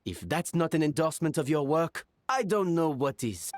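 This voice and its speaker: A voice actor playing a kind kitchen staffer who speaks calmly and encouragingly. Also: mid-range voice, moderate pace, soft background music, natural voice. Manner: calm, encouraging. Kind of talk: video game voice acting.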